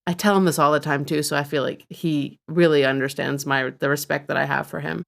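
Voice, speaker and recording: A woman's voice: low-pitched, fairly even intonation, a little fast, creaky. A woman co-hosting a podcast, chatting candidly and with a self-deprecating edge. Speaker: podcast co-host. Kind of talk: casual chat.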